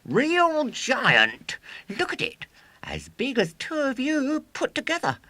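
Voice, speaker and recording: A boastful little schoolboy showman from a children's storybook. The voice is shrill and far up in pitch, swinging wildly with scornful, emphatic bragging. The sound is dry. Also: huge pitch swings, slow pace, sharp tone, dry recording, exaggerated voice. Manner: exclamatory, bragging, emphatic delivery.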